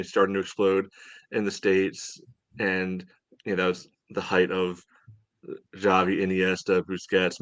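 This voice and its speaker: A man with a deep, low-pitched voice speaks as a sports podcast co-host. He is casual and opinionated, working his way through points in a halting, conversational manner.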